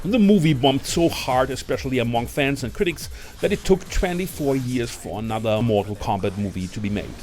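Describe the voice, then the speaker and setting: A man's voice: mid-range voice, expressive, brisk. A man presenting a scripted film review as a ranked list, in the manner of a video narrator. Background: music.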